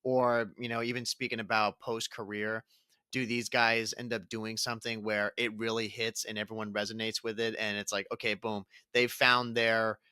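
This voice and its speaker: A man's voice: fairly low pitch, flat delivery, moderate pace, bright, forceful tone, clean tone, dry recording. A young man co-hosting a casual gaming podcast, laid-back and chuckly, talking while getting over a cold. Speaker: podcast co-host.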